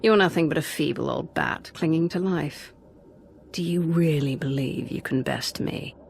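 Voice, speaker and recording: A hard-edged military commander in a game. Her voice is very low for a woman and gravelly, firm and defiant, with faint noise behind. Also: moderate pace. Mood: firm defiance. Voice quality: gravelly creak. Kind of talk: video game voice acting.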